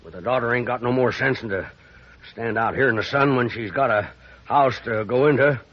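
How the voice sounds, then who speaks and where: An actor playing a gruff, bad-tempered older rancher father with a gravelly, rural American voice, barking orders in 1950s radio western style. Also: medium pitch, brisk, natural voice. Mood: gruff, softening. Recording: muffled old radio recording.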